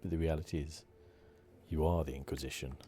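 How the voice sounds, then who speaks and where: Very deep, relaxed ASMR man. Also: fairly quick. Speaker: ASMR gaming channel host. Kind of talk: relaxed ASMR playthrough commentary.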